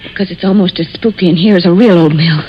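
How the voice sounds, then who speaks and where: A woman's voice: lowish voice, fast talker, muffled recording, natural voice. Actress as anxious young woman, noisy vintage radio. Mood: uneasy. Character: anxious, pleading young secretary, loyal and urgent. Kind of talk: 1940s radio mystery acting.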